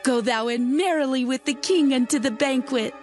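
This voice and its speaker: A scheming courtier's wife, acted by a woman, urges her husband on in a high, ringing voice. Faint music sits beneath her lines. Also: normal speed, natural voice. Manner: urgent, counseling, declamatory. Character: scheming wife of a royal minister, adult. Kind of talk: dramatized scripture reading.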